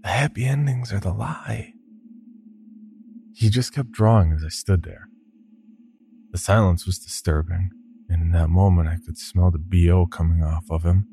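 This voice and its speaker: A man reads a first-person horror tale in a deep voice with a heavy gravelly fry. The tone is very dark and mellow, and faint music sits behind him. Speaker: horror podcast narrator. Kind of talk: scripted first-person horror story narration.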